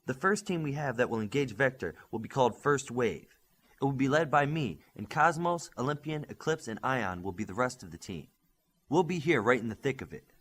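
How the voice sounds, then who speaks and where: Man voicing a commanding superhero squad leader, animated pitch. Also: brisk, breathy edge. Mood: firm, instructive. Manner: emphatic, briefing-style delivery. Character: young male superhero squad leader, commanding, earnest, brisk. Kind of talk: audiobook character dialogue.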